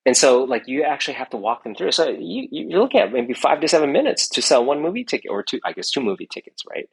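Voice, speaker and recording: A man's voice: medium pitch, sing-song, fast talker, creaky, husky, poor audio. A middle-aged man, an entrepreneur guest in a casual interview, rambling with many restarts and tag questions. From United States.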